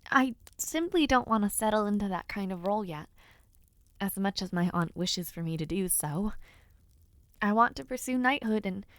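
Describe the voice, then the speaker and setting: A woman playing a spirited, playfully impatient young noblewoman who hopes to be a knight, in an expressive audio roleplay spoken straight to the listener. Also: medium pitch, smooth, no room sound, close to an everyday voice. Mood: earnest, determined. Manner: expressive, sighing, genteel wording with teasing asides. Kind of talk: audio roleplay acting, addressing the listener directly.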